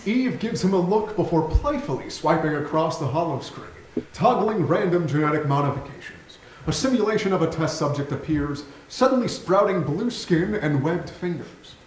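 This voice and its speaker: A man's voice: fairly high pitch, expressive, normal speed. A man reading scripted stage directions as narrator of a staged play reading. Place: theatre stage. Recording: roomy theatre recording with faint noise.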